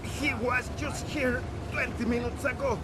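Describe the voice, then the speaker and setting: A man's voice: very high-pitched, fairly even intonation, measured pace, very noisy. A man playing a cowed ship's crewman giving up information in a video game. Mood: nervous, compliant.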